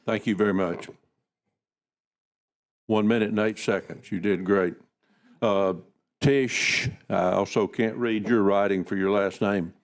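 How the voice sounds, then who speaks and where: A man's voice: on the low side, steady tone, a little slow, pauses often. A man chairing a committee hearing, who politely calls speakers and thanks them. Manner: polite, with fillers.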